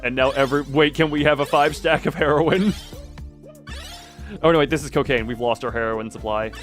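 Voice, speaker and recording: Rambling, excitable male game streamer over music. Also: medium pitch, brisk. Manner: rambling, excitable, talking to himself. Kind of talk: casual live gaming commentary, thinking aloud.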